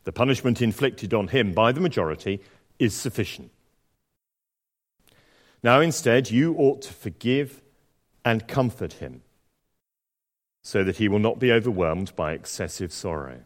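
A man with a slightly croaky voice delivers a sermon, moving from easy, self-deprecating chat to a slow scripture reading. His pitch swings widely as he talks. A long reverb from the church hangs behind him. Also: big pitch swings. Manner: conversational, self-deprecating, with fillers and restarts. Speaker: preacher.

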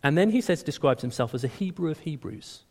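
A man preaching a sermon in a conversational, animated way, often restarting his words. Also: fairly high pitch, brisk, breathy edge. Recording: long hall reverb.

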